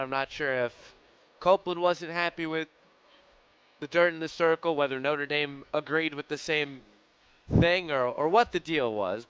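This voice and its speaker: A man's voice: medium pitch, fairly bright tone, clean tone, lo-fi sound. A man giving unscripted sports commentary on a broadcast.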